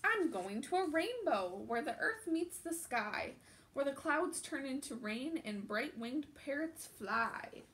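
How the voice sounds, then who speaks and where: A woman's voice: high-pitched, sing-song, slow pace, fairly bright tone, a little hiss or hum, voice pushed up, exaggerated voice, poor audio. A woman reading the lines of a cheerful male bear on a journey aloud, in a sing-song storybook rhythm.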